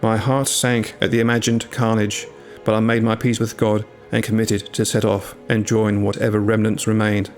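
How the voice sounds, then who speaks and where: A man reading a scripted first-person tale in a measured, literary storytelling manner. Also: on the low side, flat delivery. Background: music.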